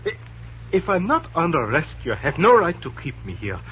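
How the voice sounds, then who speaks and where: An actor playing a frightened immigrant farmer with a German accent, pleading in halting, broken phrases in a 1950s radio drama. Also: male voice, fairly high pitch, wildly swooping pitch. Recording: muffled old radio broadcast, faint hiss.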